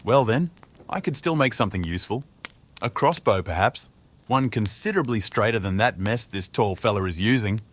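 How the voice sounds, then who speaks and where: A man voicing a loud, grumpy male dwarf smith, brash and coarse in manner. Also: lowish voice, animated, moderate pace. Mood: grouchy.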